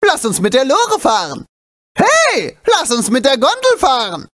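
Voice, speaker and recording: A small, wisecracking animal sidekick from a video game. The voice sits high and jumps around with very animated intonation. The delivery is excitable, cheeky and urgent, full of exclamations. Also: male voice, unhurried, exaggerated voice. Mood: chatty, cheeky.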